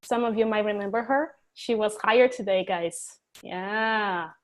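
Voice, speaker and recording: A woman's voice: mid-range voice, unhurried. A woman teaching an online English class, chatty and encouraging, laughing easily and joking about herself.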